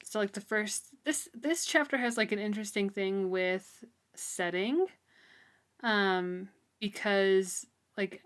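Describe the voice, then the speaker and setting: A woman's voice: mid-range voice, takes it slowly, fairly bright tone. A woman co-hosting a podcast, casually discussing a book in an informal, giggly way. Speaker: podcast co-host.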